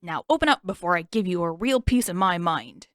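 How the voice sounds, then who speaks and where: A woman's voice: on the low side, lively intonation, brisk, no echo, studio quality, close to an everyday voice. Woman doing a boy playing a cranky old man. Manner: gruff, scolding.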